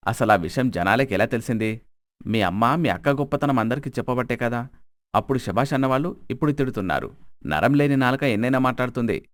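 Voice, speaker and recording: A man voicing a stern, fair-minded older father of grown daughters in an animated moral story, firm and reproving. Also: medium pitch, quick pace, no echo, clean studio sound, natural voice.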